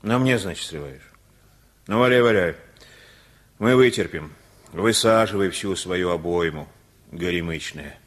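Gruff old guy, low husky voice, slow and weary, radio drama. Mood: wry, defiant. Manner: grumbling, weary. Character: gruff, long-suffering older man, soft at heart. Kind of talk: radio play acting.

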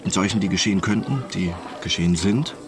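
A man's voice: low voice, a little fast, close to an everyday voice. A man playing an obsessive, wealthy eccentric who narrates his re-enactment schemes in a radio drama, terse and insistent. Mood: absorbed. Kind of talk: radio drama acting and first-person narration. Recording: loud music over the voice.

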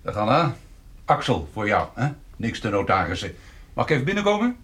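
An actor playing a pompous, wheedling village notary in a radio play, patronising and sometimes hesitant. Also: male voice, on the low side, huge pitch swings, fairly quick, background noise. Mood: insinuating.

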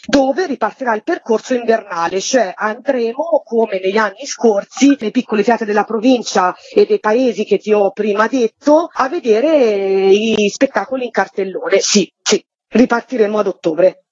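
A woman being interviewed as a theatre project organiser, giving detailed, flowing explanations in a husky voice. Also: mid-range voice, moderate pace, a little mellow, no room sound, highs cut off. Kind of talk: spoken radio interview, explanatory.

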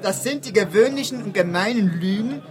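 A man's voice: high voice, fairly quick, natural voice. An actor playing a hot-headed male anarchist in a German radio drama, mocking and accusing with open scorn. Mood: mocking, accusatory. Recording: noisy crowd of jeering voices behind. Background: crowd voices.

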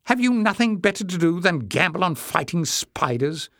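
A man's voice: high-pitched, sing-song, normal speed, a bit bright, no echo, clean studio sound, voice pushed up, exaggerated voice. A man voicing a fierce young woman in a story, curt, irritable and disdainful. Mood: disgusted. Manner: snappish, curt.

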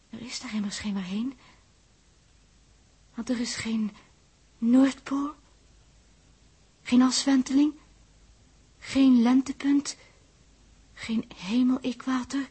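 An actress playing a wistful young woman space traveller who sees visions, in a breathy, slow, dramatic 1960s Dutch radio drama style. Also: sits high, a little mellow, exaggerated voice.

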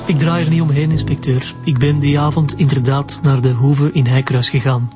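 A man's voice: medium pitch, little pitch movement, normal speed, over loud music, close to an everyday voice. An actor with a Flemish accent playing a frank, tense young man under police questioning in a radio drama.

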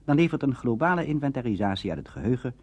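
A man giving scripted explanatory narration as a lecturer, formal and phrased with emphatic pauses. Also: medium pitch, sing-song, a little mellow, husky, no room sound.

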